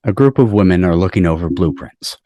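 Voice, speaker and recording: A man's voice: low voice, expressive, a little fast, warm tone, no echo. A man giving scripted narration of screenplay stage directions on a video call.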